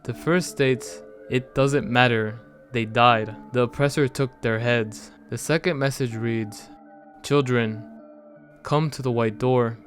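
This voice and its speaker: A man's voice: mid-range voice, steady tone, takes it slowly. Man narrating seriously over prominent music. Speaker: narrator of a video analysing a horror series. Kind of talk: scripted narration. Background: music under the voice.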